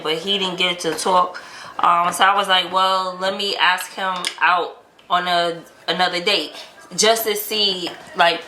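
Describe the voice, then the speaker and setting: Casual female vlogger, American, unhurried and bright, animated retelling of conversations. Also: fairly low pitch. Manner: animated retelling with quoted dialogue. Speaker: vlog host telling a couple's story. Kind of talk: casual storytelling chat. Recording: roomy echo, light background noise.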